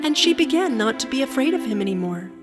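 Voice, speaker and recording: A woman narrating a children's fairy tale from a script in an expressive storytelling manner. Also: sits high, fast talker, faint music behind.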